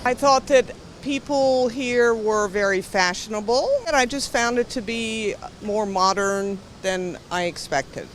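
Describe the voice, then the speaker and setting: Casual interviewee, mid pitch, light street noise. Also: unhurried, few pauses, fairly bright tone. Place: outdoors on a city street.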